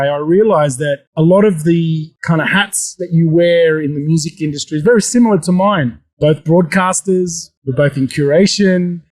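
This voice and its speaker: Man, podcast host, slightly high voice, stumbling repeated words, interview questions. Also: darkish tone. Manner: halting, many restarts and repeated words.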